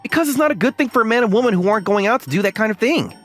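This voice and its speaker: Casting: a man plays a shy young manga-dub lead, lively swings in pitch, earnest and easily flustered, music underneath. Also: very fast. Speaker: voice actor and narrator in a manga dub. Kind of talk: acted dialogue and first-person narration.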